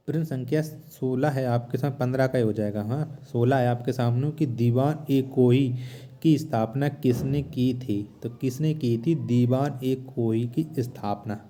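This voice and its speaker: A man's voice: medium pitch, mellow. A man teaching a lesson, reading out quiz questions, asking them again and restating each answer. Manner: repetitive, asks then restates answers. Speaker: exam-prep teacher.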